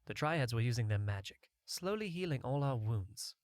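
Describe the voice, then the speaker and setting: A man's voice: mid-range voice, lively intonation, moderate pace, darkish tone, no echo, studio quality. A man reading a first-person fantasy adventure story as a scripted audiobook narration.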